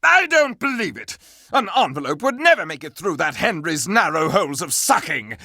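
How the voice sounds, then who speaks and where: A man's voice: fairly high pitch, sing-song, normal speed, bright, forceful tone, no echo, studio quality, full character voice. A man playing a flamboyant, gossipy living candelabra in a video game, speaking in dramatic exclamations, mock-archaic turns and coy asides. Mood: outraged. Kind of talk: animated video game character acting.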